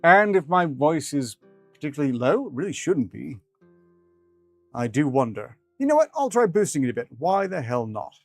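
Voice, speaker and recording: A man's voice: a little high, big pitch swings, normal speed, no room sound. A man reading fiction aloud as a streamer, with dry, rambling asides in formal wording. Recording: music behind the voice.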